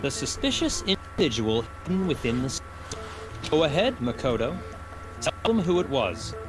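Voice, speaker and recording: A man's voice: fairly high pitch, very animated, moderate pace, rough recording. A man playing a haughty, commanding young man in a video game, formal and coolly certain, with music behind. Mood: cold certainty. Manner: formal, imperious.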